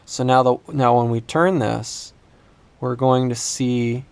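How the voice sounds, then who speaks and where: A man giving an unscripted hands-on demonstration as a repair instructor, thinking aloud in a halting way. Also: medium pitch, fairly even intonation, vocal fry, a little mellow, clean tone, no room sound. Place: indoors at a workbench.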